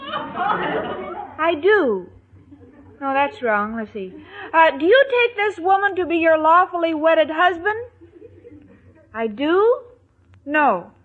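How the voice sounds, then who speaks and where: An actress playing a sweet, scatterbrained, naive young bride-to-be in 1940s radio comedy style, earnest and guileless. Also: female voice, very high-pitched, sing-song, takes it slowly, long pauses, heavily acted. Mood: puzzled. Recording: dull, hissy 1940s radio recording.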